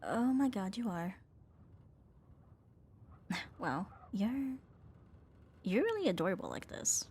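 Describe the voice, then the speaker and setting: A woman's voice: medium pitch, sing-song, slow pace, long pauses, a little mellow, heavily acted. A woman playing a young tomboy roommate, affectionate but flustered, in an intimate ASMR romance roleplay with a hesitant, teasing delivery. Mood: soft, affectionate. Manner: hesitant, teasing, breathy sighs and nervous laughs.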